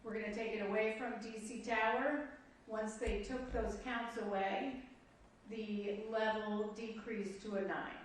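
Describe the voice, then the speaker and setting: Halting, deliberate woman in an echoey hearing room. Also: mid-range voice, steady tone. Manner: halting, deliberate, emphatic on key points. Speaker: board official questioning staff at a hearing. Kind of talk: formal public hearing remarks and questioning. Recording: echoey room, distant mic, faint noise.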